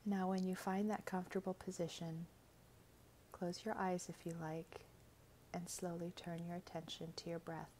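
A woman reading a scripted guided meditation in a gentle, soothing, measured way, with soft, breathy phrase endings. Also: lowish voice, little pitch movement, a little hiss or hum.

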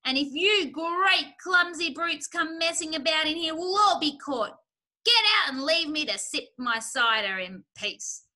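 A woman's voice: sits high, animated, a little slow, bright, forceful tone, voice pushed up, exaggerated voice. A woman voicing a rude, possessive male rat in a children's story, shrieking with indignation. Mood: indignant, bossy.

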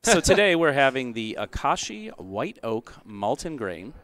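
A man's voice: medium pitch, big pitch swings, a little slow, fairly bright tone. A man hosting a podcast in a casual, chatty and jokey way. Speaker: podcast host.

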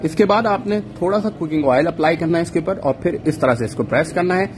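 A man's voice: a little high, quick pace, slight background noise. A man narrating a cooking recipe in an instructional voice-over, walking through each step and mixing in English words. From Pakistan. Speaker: cooking channel host.

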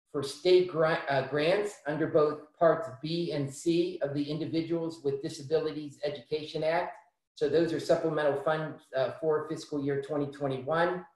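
Middle-aged man, slightly high voice, measured policy briefing, webcam audio in a very echoey room. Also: a little mellow. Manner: measured, explanatory, frequent restarts and fillers. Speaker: policy speaker. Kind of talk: virtual town hall briefing. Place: home room, indoors. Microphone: webcam on a video call.

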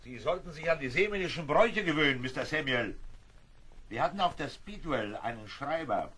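A gruff, menacing sailor in a seafaring radio play. The voice is husky and forceful, fairly high, with animated swings. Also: male voice, fairly quick, exaggerated voice. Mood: threatening.

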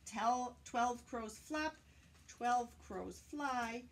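A woman's voice: a little high, extremely slow. An older woman doing a children's read-aloud, teaching in a sing-song way and putting questions to her young listeners. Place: small indoor room lined with bookshelves.

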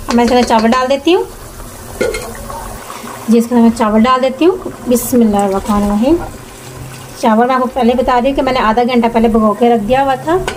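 A woman's voice: fairly high pitch, fairly quick, long pauses, darkish tone. A woman gives plain, step-by-step cooking instructions as the host, often repeating herself. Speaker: home cooking show host. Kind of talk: step-by-step recipe narration. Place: home kitchen at the stove. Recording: noisy kitchen with sizzling pan. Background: frying, sizzling, stirring.

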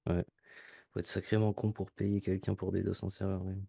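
A man's voice: low-pitched, completely flat delivery, moderate pace, creaky, mellow, husky, dry recording. A man in casual gaming voice chat, slangy and emphatic in his wording. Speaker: gamer.

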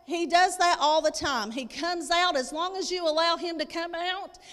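A woman's voice: extremely high pitch, moderate pace, fairly bright tone. A woman in her thirties preaching a sermon, reading scripture and explaining it emphatically, repeating phrases for weight. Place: church stage indoors, at a lectern. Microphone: handheld microphone. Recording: echoey hall with long reverb.

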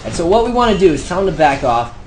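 A young man giving excited live gameplay commentary, shouting during fights and talking himself through moves, his voice going hoarse. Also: high-pitched, fast talker. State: losing his voice.

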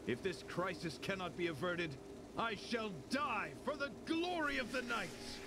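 An actor voicing a chivalrous young knight in a video game, courtly and theatrical, with flowery, earnest delivery. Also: male voice, high voice, animated, unhurried, noisy background. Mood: solemn, grand. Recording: game music under the voice.

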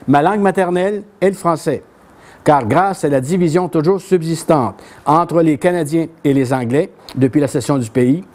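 An older man with a Québécois accent giving a history lecture to a small audience in a café, reading from a tablet in a plain, conversational way. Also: a little mellow. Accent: Québécois French. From Quebec. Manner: plain conversational telling, reads quoted speeches with lifted pitch. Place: small bookshop café with brick walls, before an audience.

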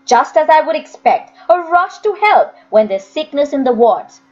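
A stern workhouse master from a storybook, voiced by a woman, sneering and scolding in a high pitch. The delivery swings up and down for emphasis, on a slightly dull recording. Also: very animated, moderate pace, a little mellow, faint music behind. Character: stern, sneering old-fashioned workhouse master, grown man.

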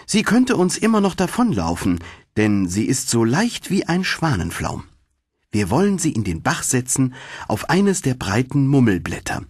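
A man's voice: medium pitch, huge pitch swings, moderate pace, slightly rough, dry recording, clean studio sound, voice pushed up. A man voicing an ugly, bossy old mother toad in a storybook, with a scheming, commanding delivery. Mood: scheming, hushed urging.

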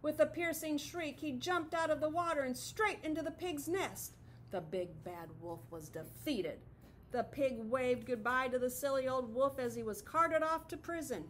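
A woman's voice: sits high, normal speed, slight background noise. A middle-aged woman teacher reading a picture book aloud expressively to children, recorded in a classroom. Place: classroom, indoors.

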